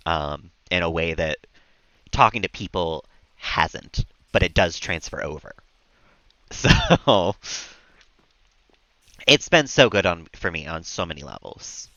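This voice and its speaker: A man chatting casually and calmly as he streams a video game, rambling a little as he plays. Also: low voice, no room sound, highs cut off. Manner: calm, relaxed, rambling. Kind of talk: casual chat while gaming.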